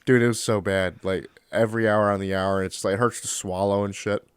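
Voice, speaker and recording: A man's voice: on the low side, fairly even intonation, fairly quick, a little hiss or hum. A young man co-hosting a podcast, stuffed up from a cold, talking loosely and swearing a lot while rambling. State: congested from a cold. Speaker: podcast co-host. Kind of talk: casual chat.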